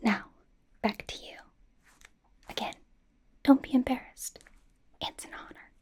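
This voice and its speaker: Woman playing young female pop idol, ASMR stylist roleplay, hushed whisper, unhurried, doting, reassuring, chatty. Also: close to an everyday voice. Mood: reassuring, eager. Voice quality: breathy whisper. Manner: gushing, reassuring, chatty with trailing repeats. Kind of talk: ASMR roleplay, personal attention.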